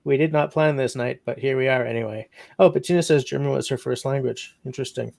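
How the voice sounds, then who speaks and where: Male livestream host, gravelly, dry close mic, rambling chat. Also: mid-range voice, fast, darkish tone, no room sound. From Canada. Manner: meandering, reads out chat comments.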